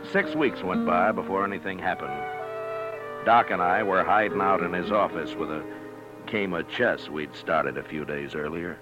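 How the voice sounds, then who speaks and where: A terse frontier lawman with a very low, authoritative voice. He speaks dryly in 1950s radio drama style. The recording is dull vintage radio with music behind it. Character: stern, laconic Old West lawman, adult man. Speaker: lead actor and narrator.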